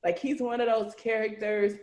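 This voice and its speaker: A higher-voiced woman hosts a relaxed group chat over a video call. She talks in an animated, slangy, conversational way, and her room adds a clear echo. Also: moderate pace. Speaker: host, narrator and writer of an audio series. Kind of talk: casual group chat, hosting questions.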